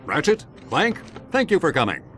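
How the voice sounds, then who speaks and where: An actor voicing a smooth male TV news anchor with polished broadcast delivery. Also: on the high side, huge pitch swings, normal speed, a bit bright, a little hiss or hum. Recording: game cutscene with faint music.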